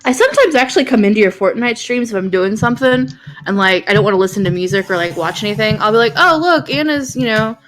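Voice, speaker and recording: Higher-pitched woman in casual, exclamatory chat. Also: expressive, fast talker, a little hiss or hum. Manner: exclamatory, repetitive, sweary. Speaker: stream co-host.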